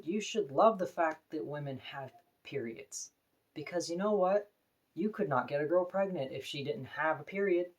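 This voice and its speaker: A woman with a deep voice, talking to camera in an earnest, opinionated, plain-spoken personal vlog. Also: lo-fi sound. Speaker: vlogger.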